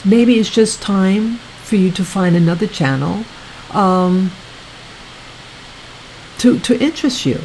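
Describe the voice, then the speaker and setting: A woman hosting her own video channel, talking casually to her viewers in a soft, soothing voice, halting often and repeating herself. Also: medium pitch, animated, a little hiss or hum, poor audio. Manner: slow, repetitive, halting with many restarts. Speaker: YouTube channel host.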